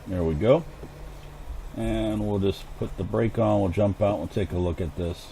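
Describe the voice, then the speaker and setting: A man talks through a farming game session in a relaxed, chatty way, hoarse and clearing his throat now and then. His voice sits low and mellow, with a faint hiss under the recording. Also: measured pace, poor audio. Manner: chatty, thinks aloud while playing. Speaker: gaming channel host. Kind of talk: casual gameplay commentary.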